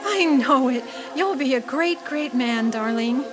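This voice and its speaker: A woman's voice: high-pitched, expressive, measured pace, music in the background. A woman acting in a radio drama as an adoring, earnest young sweetheart, romantic and hopeful. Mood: loving, anxious.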